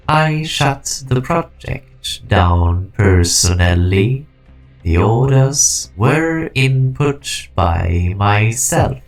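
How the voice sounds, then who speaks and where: A man playing an ancient, weary guardian being, solemn and resolute, in a fan-dubbed game story with a grave, halting delivery. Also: fairly low pitch, gravelly, full character voice. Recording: background music.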